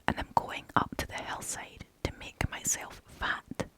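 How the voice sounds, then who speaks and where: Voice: measured pace, close to an everyday voice. A British storyteller voicing a tiny, timid young male billy goat from a storybook in a small, pleading whisper.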